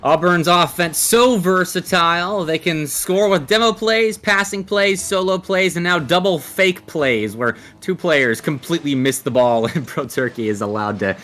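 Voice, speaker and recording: A man's voice: fairly high pitch, normal speed, hardly any pauses, fairly bright tone. A man commentating live on a video game match as an esports caster. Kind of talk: live Rocket League play-by-play and banter.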